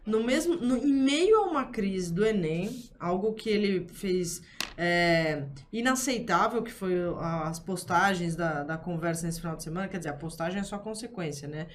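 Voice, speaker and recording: A woman's voice: medium pitch, slight background noise. A woman hosting a political commentary discussion, who talks hesitantly with many fillers and restarts. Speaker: host.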